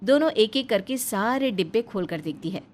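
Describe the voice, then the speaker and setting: A woman's voice: medium pitch, lively intonation, moderate pace, a little mellow. A woman reading scripted narration as the storyteller of a cartoon family tale.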